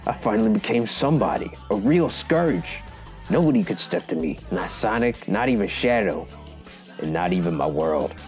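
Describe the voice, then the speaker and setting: A man's voice: fairly low pitch, a little mellow, natural voice. A man voicing a bitter, cynical young punk villain in a dramatic cartoon monologue. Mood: bitter, boastful. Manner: brooding, cynical.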